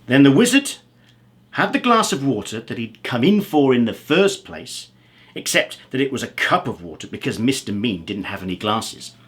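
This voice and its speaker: A man reading a children's story aloud as an expressive storyteller. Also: mid-range voice, normal speed. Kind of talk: animated children's story narration.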